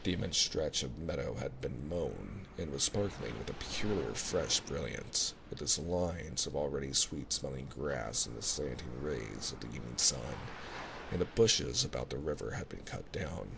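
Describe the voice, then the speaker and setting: A man reading a classic novel as an ASMR bedtime story, unhurried and soothing, with a gravelly voice, close on a binaural microphone. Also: expressive. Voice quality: very deep, gravelly. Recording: clear background noise, ocean sounds. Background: ocean sounds.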